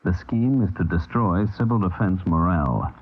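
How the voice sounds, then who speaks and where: An actor playing an undercover government agent who passes as a polite reporter, in old radio drama style. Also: male voice, deep voice, lively intonation, normal speed. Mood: confidential, businesslike. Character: eager, deferential undercover agent posing as newspaper reporter. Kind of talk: 1950s radio drama acting. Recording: noisy old narrow-band radio recording.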